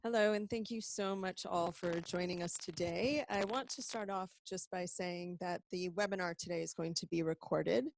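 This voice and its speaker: A woman's voice: on the low side, fairly even intonation, moderate pace, creaky, few pauses, a little hiss or hum, poor audio. An American woman, an executive director, giving a conversational and earnest webinar briefing to supporters from an office, with some fillers and restarts. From United States.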